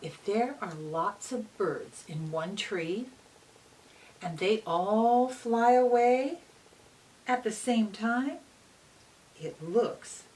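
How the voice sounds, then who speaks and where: A woman reading a picture book aloud to young children in a gentle, teacherly manner, often pausing to put questions to them. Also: a little high. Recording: distant mic with faint hiss.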